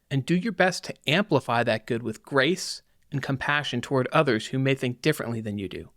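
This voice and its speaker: A man's voice: mid-range voice, fairly bright tone, no room sound, clean studio sound. A man hosting a podcast, curious in conversation and asking follow-up questions. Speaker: podcast host. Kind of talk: conversational interview and outro.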